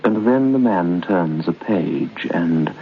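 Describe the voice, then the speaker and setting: An ominous male narrator of an old radio horror tale. His very low voice is solemn, deliberate and portentous. The recording is slightly dull, with faint noise behind him. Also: expressive, full character voice. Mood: hushed, ominous.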